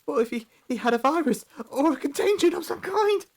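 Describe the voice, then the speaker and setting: Actress as anxious young woman, timid, stammering, high pitch, creaky, audio drama. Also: animated, fairly quick. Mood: frightened. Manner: nervous stammer.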